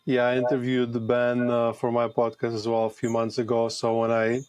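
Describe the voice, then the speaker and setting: A man in his thirties with a Latvian accent, talking conversationally as an interview guest in a halting, reflective way. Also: medium pitch, monotone, a little mellow, clear, resonant tone, dry recording. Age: 30s. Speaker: podcast guest, retreat founder.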